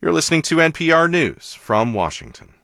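A man's voice: fairly high pitch, big pitch swings, fairly quick, vocal fry, a bit bright, dry recording, clean studio sound. A man reading a scripted radio newscast as an anchor. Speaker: news anchor.

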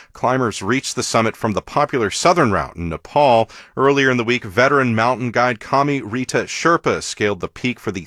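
A man's voice: medium pitch, fairly bright tone, no room sound, studio quality. A man reading a scripted radio newscast as an anchor. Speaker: news anchor.

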